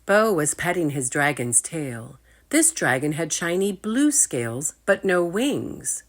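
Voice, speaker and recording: A woman's voice: low voice, very animated, takes it slowly. A woman reading a storybook aloud to children, expressively, speaking straight to her listeners. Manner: engaging listeners with asides.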